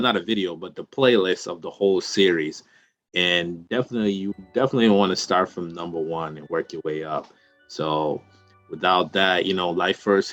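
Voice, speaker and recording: A man's voice: sits low, a bit bright, dull audio. A man co-hosting a talk show, giving a loose, rambling sign-off to viewers with many fillers. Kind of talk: channel outro plug.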